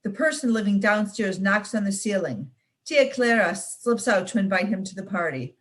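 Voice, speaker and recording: A young woman student reading a children's picture book aloud, expressive and playful with the sound words. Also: mid-range voice, moderate pace. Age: young adult. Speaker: student reader.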